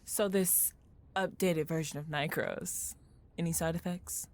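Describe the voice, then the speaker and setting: A woman's voice: deep voice, steady tone, unhurried, a little mellow. A woman acting in an audio drama as a principled company employee, speaking in an earnest, probing way. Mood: concerned.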